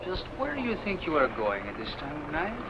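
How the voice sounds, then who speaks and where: An actor playing a scheming Spanish sailor who questions people with sly menace, in an old adventure serial. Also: male voice, fairly high pitch, wildly swooping pitch, fairly quick. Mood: menacing suspicion. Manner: sly, interrogating, sardonic. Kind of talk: old-time science fiction adventure serial acting. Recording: noisy old serial soundtrack, constant background hiss.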